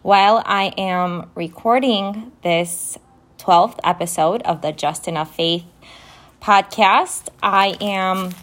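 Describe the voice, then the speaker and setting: A woman whose first language is Romanian, hosting a podcast and going slowly through vocabulary words, spelling each one letter by letter. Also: mid-range voice, fairly bright tone. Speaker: podcast host. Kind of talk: vocabulary lesson, casual spelling and definitions. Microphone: phone app recording.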